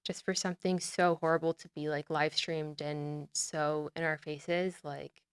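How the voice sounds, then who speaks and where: Earnest woman with a smooth, lowish voice. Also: unhurried, dry recording, clean studio sound. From United States. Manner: relaxed and earnest, heavy use of "like", trailing off and restarting. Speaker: podcast guest, olive oil brand founder. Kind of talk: casual interview conversation.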